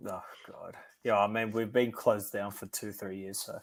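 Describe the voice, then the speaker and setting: A man's voice: lowish voice, little pitch movement, moderate pace. A man hosting a podcast who chats in an easygoing way and wraps up the show. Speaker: podcast host. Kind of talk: casual chat.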